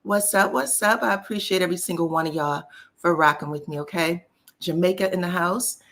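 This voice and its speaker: A woman's voice: on the low side, brisk, fairly bright tone. A woman hosting a casual live-stream gossip chat, scratchy voice, talking folksy and direct to her viewers. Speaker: celebrity gossip YouTuber.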